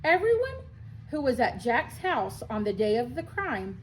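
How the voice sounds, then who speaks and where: Bossy, sharp-tongued storybook girl, played by a woman reader, slightly high voice, faint background noise. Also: very animated, a little slow, rough recording. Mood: sharp, insistent. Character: bossy, sharp young girl organizing a kids' court.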